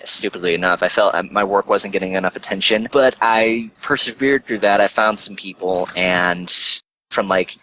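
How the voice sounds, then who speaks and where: A man's voice: low-pitched, normal speed, sharp tone, a little hiss or hum, poor audio. A man chatting casually as a podcast guest, blunt, sweary and self-deprecating. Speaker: interview guest, online video creator.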